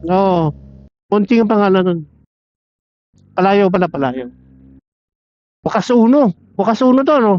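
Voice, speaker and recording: A man's voice: high-pitched, animated, measured pace, long pauses, a little hiss or hum. A man chatting and laughing with a friend over a helmet intercom while riding a motorcycle. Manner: laughs often, chatty, teasing. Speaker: motorcycle rider. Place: on a motorcycle on the road. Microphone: helmet intercom headset. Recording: muffled lo-fi intercom audio with faint music.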